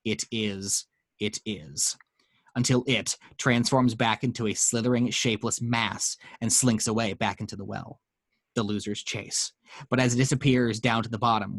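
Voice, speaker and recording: A man's voice: lowish voice, dry recording. A man reading action descriptions as narrator in a dramatic screenplay table read. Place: at home.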